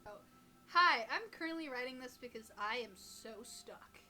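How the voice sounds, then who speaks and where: A young woman with a fairly high voice reads creepy stories in a relaxed, chatty way. She co-hosts and drops joking comments into what she reads. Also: moderate pace, faint music behind. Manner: joking, interjecting asides while reading. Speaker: co-host reading listener stories. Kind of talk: casual chat and reading aloud creepy stories.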